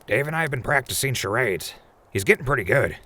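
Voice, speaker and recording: A man playing a practical, friendly old wasteland traveler in an audio drama, with a raspy, folksy voice. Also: mid-range voice, animated, fast talker, sharp tone. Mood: easygoing, wry. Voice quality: gravelly, raspy. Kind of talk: post-apocalyptic audio drama acting.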